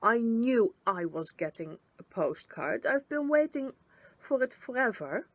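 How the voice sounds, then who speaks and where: A woman's voice: a little high, very animated, measured pace, dry recording, exaggerated voice. A woman voicing a small, wild, impatient female storybook creature, indignant and haughty, with emphatic delivery.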